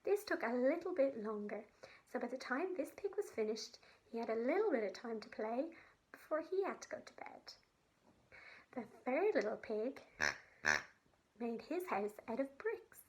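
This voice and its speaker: A woman telling a story to young children, in simple phrases with pauses for her listeners. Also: on the high side, lively intonation, darkish tone.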